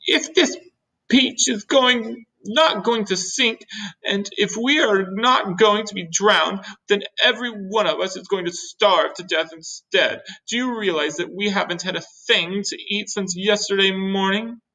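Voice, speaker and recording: Woman voicing a high-pitched, wailing, gloomy earthworm. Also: pushed and bright, low-quality recording. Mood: despairing.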